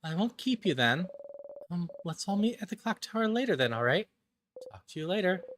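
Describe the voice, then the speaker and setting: A gentle young game character put on by a voice-over performer, pitched up and hesitant. They speak politely and apologetically, with shy pauses, over some noise. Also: big pitch swings, close to an everyday voice. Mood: shy, friendly. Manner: hesitant, soft-hearted, polite.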